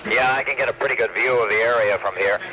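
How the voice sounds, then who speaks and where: An actor playing a shaken, awed male field reporter in a radio drama, giving a halting eyewitness report over a noisy two-way radio link. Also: medium pitch, bright, forceful tone, close to an everyday voice. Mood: awed, helpless. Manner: halting, stammering repeats.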